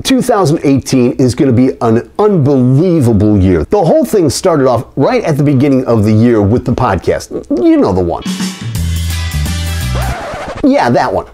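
A man in his fifties chatting to camera as a vlogger in a living room, with an animated, folksy, emphatic manner. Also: mid-range voice. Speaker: vlogger and podcast host.

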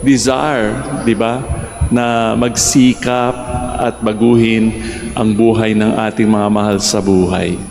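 A man's voice: mid-range voice, creaky, darkish tone. An older man with a Filipino accent preaching a homily in a large church, switching between Tagalog and English and asking his listeners rhetorical questions. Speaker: priest giving a homily. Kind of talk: sermon. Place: large church, in front of the altar. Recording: echoey church hall reverb.

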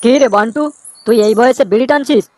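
A man voicing a grumpy, scolding old village man in a comedy cartoon, with a pitch-raised voice. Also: quick pace, heavy background noise. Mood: indignant scolding. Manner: scolding, indignant. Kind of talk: amateur comedy cartoon dubbing.

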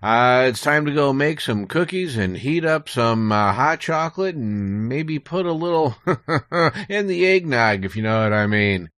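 Animated man explaining history on a podcast. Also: medium pitch, unhurried, no echo, clean studio sound. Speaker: guest. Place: small recording booth.

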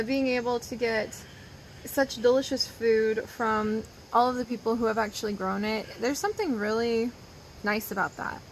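A woman's voice: on the high side, slight background noise, low-quality recording. A young woman in her twenties talks casually to her viewers from an outdoor wooden deck, rambling and upbeat. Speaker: vlogger. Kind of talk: casual chatty vlog. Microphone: phone or camera held close.

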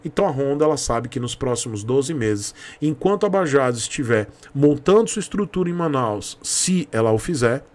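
A man's voice: mid-range voice, expressive, moderate pace, few pauses, a little mellow. A man in his thirties with a Bahian Brazilian accent, giving informal, emphatic opinion commentary to camera as a video host, close to a desk mic in a home room. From Salvador, Bahia, Brazil. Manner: emphatic, repeats points for stress. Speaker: YouTube host, motorcycle commentator. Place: small home room with shelves, indoors. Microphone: desk microphone close in front.

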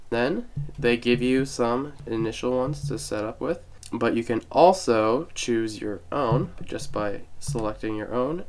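A man's voice: medium pitch, vocal fry. A young man getting over a cold, chatting casually through a step-by-step website tutorial with self-deprecating asides. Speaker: tech tutorial host. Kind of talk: casual screen-recorded tutorial.

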